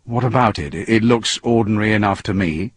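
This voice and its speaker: A man's voice: lowish voice, a little fast, husky, no room sound. A man voicing a wary male astronaut with a doubtful, questioning manner in a story reading. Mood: anxious, questioning.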